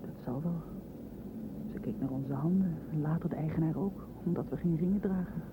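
A woman's voice: low voice, a little fast, close to an everyday voice. An actress playing an uneasy, doubting girlfriend in a radio play, hesitant and questioning. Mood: worried. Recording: muffled old radio play, noisy background.

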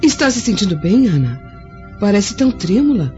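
An actress with a Brazilian accent playing a gentle, frail blind young noblewoman of ancient Rome in a radio drama, tender and earnest. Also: mid-range voice, big pitch swings, normal speed, natural voice. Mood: tender surprise. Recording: dull lo-fi audio with music.

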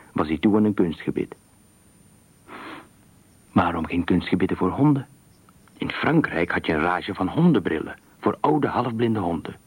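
A man narrating a literary radio thriller story in a measured, expressive storytelling manner. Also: lowish voice, slightly rough, no echo.

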